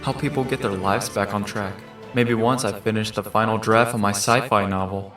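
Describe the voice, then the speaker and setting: A man's voice: fairly low pitch, steady tone, moderate pace, lightly acted. A man playing a thoughtful, lonely teenage mutant turtle in an earnest, introspective monologue for a comic dub. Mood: melancholy, reflective. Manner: earnest, reflective. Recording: roomy, distant mic under loud music.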